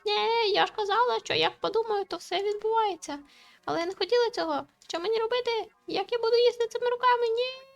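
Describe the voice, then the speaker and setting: A woman's voice: very high voice, quick pace, vocal fry, soft background music, voice pushed up. A woman voicing a cheerful, naive anime girl from a visual novel, explaining things in an earnest, theatrical manner. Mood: panicked, whining.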